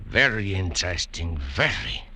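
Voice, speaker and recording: A low, husky museum curator speaks with animated intonation. He is a courtly, scholarly older gentleman from an old-time radio play. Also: measured pace, soft background music, exaggerated voice. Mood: intrigued, scholarly. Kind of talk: 1940s radio drama acting.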